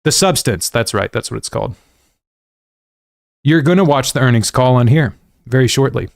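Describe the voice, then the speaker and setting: An American man talks to his livestream viewers in a loose, rambling style full of slang. His pitch sits in an ordinary middle range for a man, and he is self-deprecating as he goes. The sound is dry and close, with very little room in it. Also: expressive, normal speed, gravelly, no room sound. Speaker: gaming livestream host. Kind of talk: casual livestream chat to viewers. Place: indoors at a desk.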